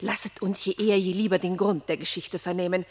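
A woman's voice: lowish voice, fairly even intonation, brisk, a little mellow, close to an everyday voice. Woman as solemn queen in a reverberant hall. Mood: pitying, imploring. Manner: solemn, declamatory. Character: solemn, compassionate animal queen urging confession. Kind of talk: classic German verse radio drama acting.

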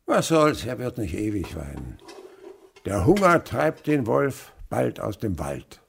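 A domineering old miser in a radio play. His gravelly voice swings widely as he grumbles and sneers, with faint noise behind him. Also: fairly low pitch, huge pitch swings, slow pace, exaggerated voice. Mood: gruff, mocking. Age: older. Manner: grumbling, sarcastic, theatrical. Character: miserly, domineering older husband and uncle, gruff and sly. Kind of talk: German radio drama acting.

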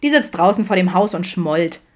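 A woman's voice: on the high side, animated, brisk, pitched above the performer's own voice, close to an everyday voice. A woman voicing a cheeky little girl with a light, child-like voice in an audiobook, chirpy and teasing.

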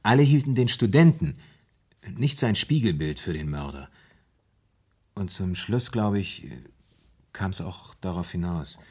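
An actor in a 1970s German radio play, playing a troubled, brooding man confessing his fear of madness in halting, dramatic storytelling. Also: low voice, lively intonation, mellow, slightly rough, heavily acted. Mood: brooding recollection.

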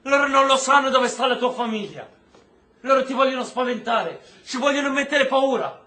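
High-pitched, earnest prisoner. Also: male voice, animated, moderate pace, pushed and bright, reverberant, heavily acted. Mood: worried, reassuring. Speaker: actor. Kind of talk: Italian stage-style radio drama acting.

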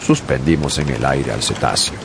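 A man narrating a radio adaptation of a sea story in a measured storytelling voice. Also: very deep voice, creaky, noisy background. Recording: music bed under the voice.

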